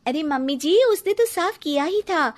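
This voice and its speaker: A woman voicing a young, modern Indian daughter-in-law in a Hindi cartoon story, pleading and quick to protest. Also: sits high, expressive. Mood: pleading explanation.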